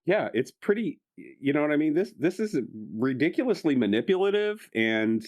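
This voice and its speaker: A man's voice: a little high, very animated, normal speed, darkish tone. A man playing a tabletop roleplaying game, improvising conversational in-character remarks. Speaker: player.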